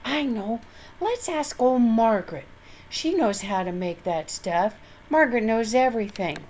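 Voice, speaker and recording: Storybook role: excitable, cheeky young boy, high and unhurried, played by a woman reader. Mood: eager. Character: eager, cheerful young mischievous boy. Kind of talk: children's storybook character voice.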